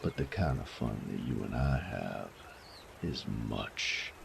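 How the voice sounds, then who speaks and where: A man playing a sadistic, teasing bandit in an audio roleplay, with a gravelly voice and a taunting, coarse drawl. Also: expressive, slow pace, background noise, full character voice. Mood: teasing, seductive. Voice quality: gravelly, creaky low voice. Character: sadistic bandit rogue, menacing, teasing, mocking, flirtatious. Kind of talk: roleplay audio drama acting.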